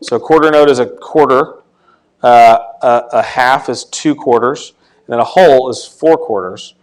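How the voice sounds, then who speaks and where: A man with a light tenor voice at a middle pitch teaches a church class in a relaxed, unscripted way. He stops and restarts his sentences often and fills the gaps with ums. He sounds like someone thinking aloud while explaining. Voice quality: tenor voice, not deep. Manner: halting, many restarts and fillers. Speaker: Sunday school teacher.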